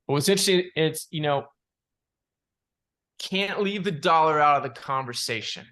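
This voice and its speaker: A man giving unscripted analysis as a market analyst, in a halting way, repeating words and padding with "you know". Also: a little high, expressive, pushed and bright. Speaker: market analyst presenting charts. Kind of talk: unscripted financial chart commentary.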